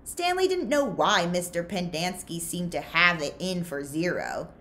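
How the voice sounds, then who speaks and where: Low-pitched, lively woman reading a story. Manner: expressive, deliberate. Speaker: librarian reading a children's novel aloud. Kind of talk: read-aloud storytelling. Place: small furnished room indoors.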